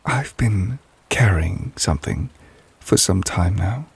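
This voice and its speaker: Man voicing devoted romantic witch lover, ASMR roleplay, lowish gentle voice, creaky edges, soft, wistful, possessive, close-miked. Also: animated, exaggerated voice. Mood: thoughtful, loving. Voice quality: soft, breathy, husky. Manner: intimate, measured, gentle, wistful. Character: devoted, tender, possessive male witch lover, softly proposing. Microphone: close, dry microphone.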